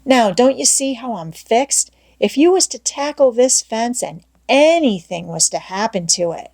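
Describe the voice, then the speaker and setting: A woman's voice: a little high, lively intonation, a little mellow, voice pushed up. A woman voicing a sly, folksy boy. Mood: mock reluctant. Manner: folksy rural dialect, drawn-out hedging. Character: sly boy feigning reluctance, folksy and cagey. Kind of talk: audiobook character voice.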